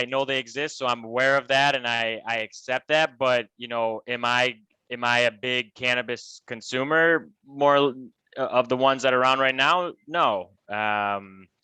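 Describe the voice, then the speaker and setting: American man, 30s, interview guest; crisp, bright voice, laid-back and halting. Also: mid-range voice, no echo, rough recording. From Michigan, US. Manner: relaxed, halting, many restarts. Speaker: guest, cannabis advisor. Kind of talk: casual interview talk.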